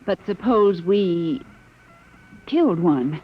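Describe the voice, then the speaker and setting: Distressed human prisoner in old radio sci-fi, earnest, urgent, husky, heard through thin phone-like sound. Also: female voice, on the low side, expressive, soft background music, heavily acted. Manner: pleading, halting, urgent. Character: distressed, earnest female human captive in alien zoo. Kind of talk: 1950s radio drama acting.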